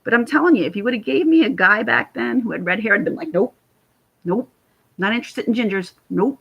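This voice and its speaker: A woman with a raspy voice chatting casually to camera on her vlog, rambling and laughing often. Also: on the high side, fairly quick, a bit bright, dull audio. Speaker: YouTube commentator.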